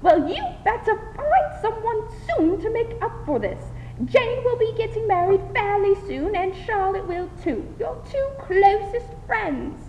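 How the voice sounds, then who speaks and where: A woman playing an effusive, excitable middle-aged mother in a theatrical 1920s radio drama parody. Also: very high voice, measured pace, soft background music, full character voice. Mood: indignant. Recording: muffled, reverberant, faint hiss.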